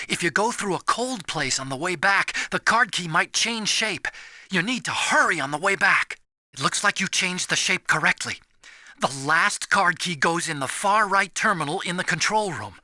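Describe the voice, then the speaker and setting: An actor playing an earnest, nerdy young American engineer in a video game, explaining things emphatically with anxious sincerity. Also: male voice, high-pitched, bright, forceful tone, dry recording, studio quality, exaggerated voice. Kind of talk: dramatic video game voice acting.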